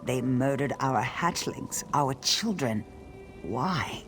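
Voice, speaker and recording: Mourning lizard-folk game character: slow, very expressive pitch, bitter, hurt, over score. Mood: grieving. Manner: slow, emotional, dramatic. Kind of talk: video game character dialogue. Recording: game music behind the voice.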